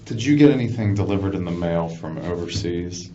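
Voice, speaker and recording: Low-pitched male detective, distant muffled recording. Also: animated, warm tone, a little hiss or hum. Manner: halting, repetitive questioning with frequent acknowledgements. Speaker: police detective interviewing a suspect. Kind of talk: police interview questioning. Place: indoor police interview room. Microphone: distant room microphone.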